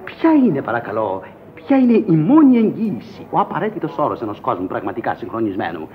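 A man's voice: medium pitch, big pitch swings, natural voice. A man acting in a radio drama as a pompous, scornful company president with a declamatory delivery. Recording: muffled archive radio recording with music.

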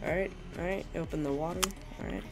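A man's voice: a little high, quick pace. Sick young man rambling through gameplay. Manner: rambling, hesitant, many ums and restarts. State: sick, sore throat. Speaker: Minecraft YouTuber. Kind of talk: casual gameplay showcase commentary.

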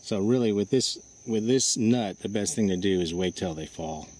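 A man with a fairly low voice talks in a relaxed, explanatory way while filming outdoors in a grassy yard under trees. His voice comes through a handheld camera mic. Faint cricket and insect noise hums in the background. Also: normal speed. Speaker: vlogger. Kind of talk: casual outdoor show-and-tell.

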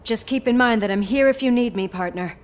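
An actress playing a tough but caring, loyal police officer in a video game, brisk and wry. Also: female voice, fairly high pitch, slight background noise, natural voice. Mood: focused, supportive.

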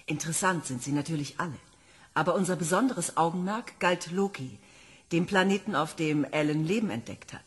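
A woman's voice: low-pitched, fairly bright tone, husky. An actress playing an enthusiastic woman planetology professor reporting to a commission. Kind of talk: radio play acting.

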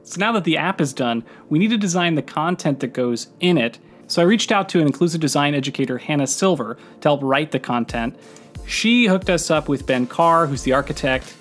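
Higher-voiced man explaining, over music. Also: animated, fairly quick, fairly bright tone. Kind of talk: explaining to camera. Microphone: studio mic with pop filter, close.

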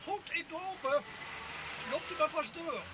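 High-pitched, wary eccentric professor. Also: expressive, a little slow. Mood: wary, curt. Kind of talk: old Dutch radio drama acting. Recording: noisy, ambient outdoor sound effects.

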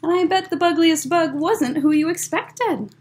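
A woman in her thirties reading a picture book aloud at a children's story time, expressive and deliberate, with explanatory asides. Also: very high-pitched, a little hiss or hum. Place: indoors, library room with bookshelves.

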